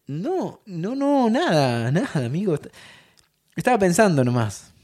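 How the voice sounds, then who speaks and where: A man's voice: sits high, very animated, unhurried, darkish tone, heavily acted. An actor playing a teasing young Argentine time traveler who hides his sadness, in naturalistic audio fiction dialogue. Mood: evasive, gentle. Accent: Argentine, Rioplatense. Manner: casual, teasing.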